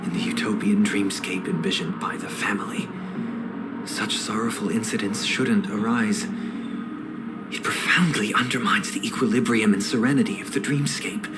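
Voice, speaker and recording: An actor playing a solemn, righteous winged brother in a video game, speaking in formal, measured, sermon-like phrases. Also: male voice, on the low side, steady tone, loud music behind the voice, lightly acted, rough recording. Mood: cold disdain. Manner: formal, measured, preachy with quotations. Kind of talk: scripted dramatic video game cutscene dialogue.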